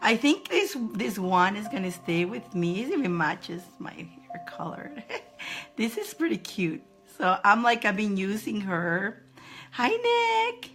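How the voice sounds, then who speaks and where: A woman with a squeaky voice hosting a live spiritual advice stream from home, chatting casually and greeting viewers by name. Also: big pitch swings, takes it slowly, a bit bright, soft background music. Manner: greets viewers by name in high sing-song, laughing often.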